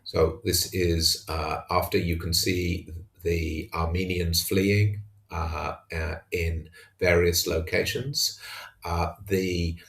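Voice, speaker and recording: Low-voiced man with a gravelly edge. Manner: hesitant, halting delivery. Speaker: tabletop wargamer narrating own battle report. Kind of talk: unscripted match recap commentary.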